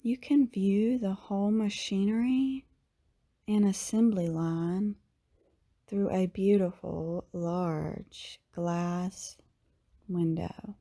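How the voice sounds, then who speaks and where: A woman leading a guided meditation in a soothing, unhurried way, with long pauses and phrases that tend to trail off softly. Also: medium pitch. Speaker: yoga teacher, meditation guide.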